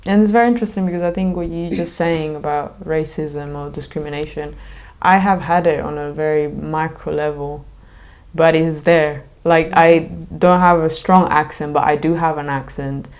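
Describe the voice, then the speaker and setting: A woman's voice: low voice, steady tone, moderate pace, darkish tone. A woman from Colombia co-hosting a podcast, talking in a reflective, agreeable way. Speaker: podcast co-host. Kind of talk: casual chat.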